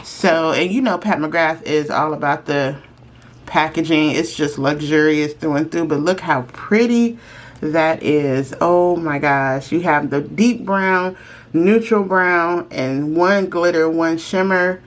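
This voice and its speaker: Low-voiced woman, lilt, stuffy nose, relaxed chatty beauty vlogger. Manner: chatty, friendly, slows down while applying makeup. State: congested. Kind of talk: casual makeup review.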